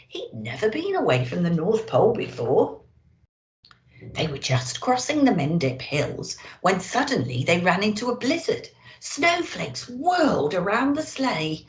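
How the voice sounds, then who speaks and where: A woman reading to children expressively as a storyteller, playing a Christmas elf host. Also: mid-range voice. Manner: animated, addressing children. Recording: roomy indoor echo.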